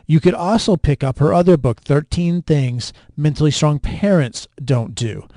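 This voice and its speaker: A man's voice: medium pitch, darkish tone, no echo. A man hosting an interview podcast in a conversational style.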